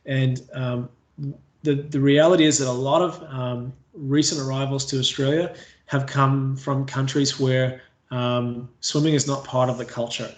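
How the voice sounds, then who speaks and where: Hesitant Canadian man, flat and echoey. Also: medium pitch, a little slow. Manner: hesitant, many fillers and restarts. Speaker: water safety trainer. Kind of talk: staff training webinar presentation.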